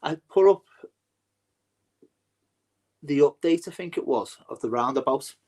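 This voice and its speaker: A man from Cheshire bantering casually with friends, telling jokes and bursting into high laughter. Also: lively intonation, measured pace, darkish tone. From Cheshire, England.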